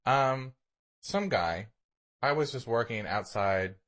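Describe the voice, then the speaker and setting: Guy podcast host just chatting, mid-pitched, really animated, sarcastic and sweary. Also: slow. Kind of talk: casual chat, anecdotes.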